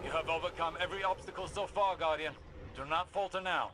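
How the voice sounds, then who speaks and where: Man as stern, higher-voiced older mentor. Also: brisk, heavy background noise, natural voice. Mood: urgent. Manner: formal, authoritative, exacting. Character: stern, impatient older male scholar-warrior mentor. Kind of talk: video game mission briefing dialogue.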